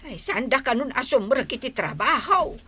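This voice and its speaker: Animated, grumbling older Ilocano mother. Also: high voice, normal speed, breathy edge, exaggerated voice. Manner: querulous, exclamatory. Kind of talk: Ilocano radio drama acting.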